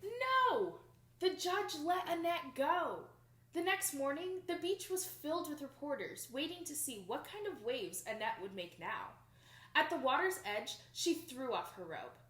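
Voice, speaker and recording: A young adult woman reading a picture book aloud to children in an expressive, emphatic storytelling manner. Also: high voice, unhurried. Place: small plain indoor room. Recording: roomy indoor echo.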